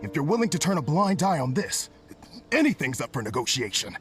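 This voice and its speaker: An actor playing a shifty, stubborn bandit boss in an anime video game, grumbling and defensive in a dramatic way. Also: male voice, fairly high pitch, big pitch swings, fairly quick, faint music behind. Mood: pleading. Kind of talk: English-dubbed anime video game acting.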